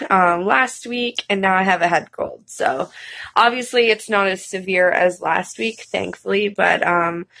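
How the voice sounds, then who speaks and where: A woman in her thirties with a head cold, talking casually to a webcam from her couch in a crusty, hoarse voice, rambling and apologetic with lots of "um"s. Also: fairly low pitch, a bit bright, no echo. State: congested with a head cold and a sore, croaky throat. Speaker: streamer and vlogger. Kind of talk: casual chat to camera. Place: living room, seated on a couch. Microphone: webcam at close range.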